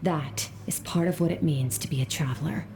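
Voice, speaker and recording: A woman voicing a stern, composed female sword mentor in a game, speaking in a calm, measured, instructive way. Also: deep voice, vocal fry, soft background music. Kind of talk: game cutscene voice acting.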